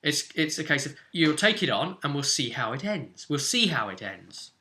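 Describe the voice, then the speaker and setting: A man's voice: on the high side, very animated, normal speed, sharp tone. A man hosting as game master, casually explaining a tabletop role-play session in loosely phrased speech with asides.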